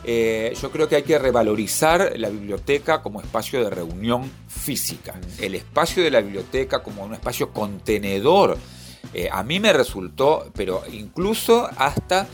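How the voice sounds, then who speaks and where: A man's voice: mid-range voice, lively intonation, moderate pace, soft background music. A man, reflecting on his reading and libraries with many restarts. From Argentina. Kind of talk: personal interview testimony.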